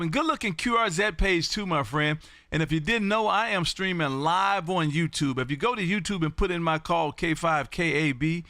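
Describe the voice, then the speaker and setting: A loud, exuberant man chatting as an amateur radio livestream host, emphatic and prone to repeating phrases. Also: on the high side, no echo, clean studio sound. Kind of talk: ham radio contact chat. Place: indoor home radio room.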